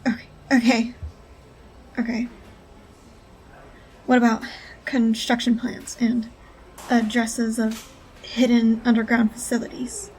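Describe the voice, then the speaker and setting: An actress playing a hunted, earnest female whistleblower in an audio drama, speaking haltingly. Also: fairly high pitch, completely flat delivery, a little mellow, a little hiss or hum, low-quality recording. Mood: frightened, pleading.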